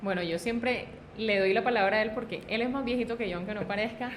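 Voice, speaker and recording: A woman's voice: medium pitch, fast. Cheerful young woman with coastal Colombian accent. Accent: Colombian Caribbean coast. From born in Antioquia, raised in Barranquilla, Colombia. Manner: cheerful, laughs easily. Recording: audible background noise.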